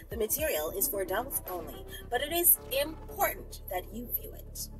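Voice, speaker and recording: A woman's voice: high-pitched, poor audio. A woman reading the part of a government scientist who formally announces research findings in a storytime tale.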